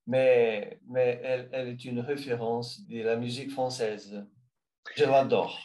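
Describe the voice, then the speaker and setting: A man from Brazil speaking French as a learner, with a Brazilian Portuguese accent, answering interview questions slowly and hesitantly as he searches for words. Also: medium pitch, flat delivery, dark tone.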